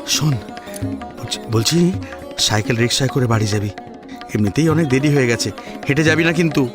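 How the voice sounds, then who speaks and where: A man voicing a caring, excitable young male friend in an audio story, affectionate and gently urging. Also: medium pitch, brisk, over music, natural voice. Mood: tender, urging.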